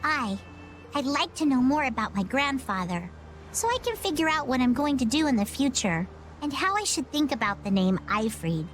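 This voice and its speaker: Game role: carefree young pirate girl, very high, lively swoops, folksy, faint ambience behind. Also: moderate pace, a little hiss or hum. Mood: wistful. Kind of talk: video game voice acting.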